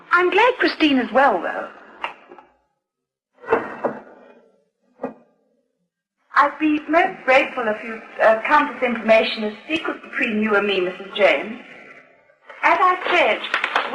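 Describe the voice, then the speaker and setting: A proper official with expressive intonation. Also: female voice, sits high, low-quality recording. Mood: discreet. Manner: formal, deliberate, well-spoken. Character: proper, confiding adoption society official, grave storyteller. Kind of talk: radio drama acting.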